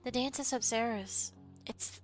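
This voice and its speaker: A woman's voice: a little high, unhurried, faint music behind, poor audio. A woman streamer making casual, playful, jokey comments while playing a video game.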